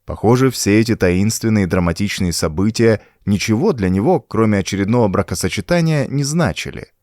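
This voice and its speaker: A man narrating a horror audiobook in an expressive, suspenseful, dramatic manner. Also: fairly low pitch, a little fast, dry recording, clean studio sound. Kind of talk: dramatic scripted narration of a pulp horror story.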